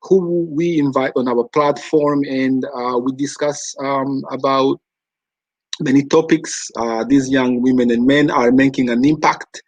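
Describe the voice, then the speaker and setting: A man's voice: medium pitch, steady tone, measured pace, darkish tone, no room sound, low-quality recording. A man from Rwanda hosting a casual online interview, chatty and full of fillers and restarts. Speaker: host.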